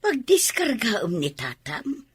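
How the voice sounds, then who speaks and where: Older village woman, Filipino accent, husky and expressive, close dry radio drama sound. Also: a little high, a little slow, no room sound, exaggerated voice. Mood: concerned.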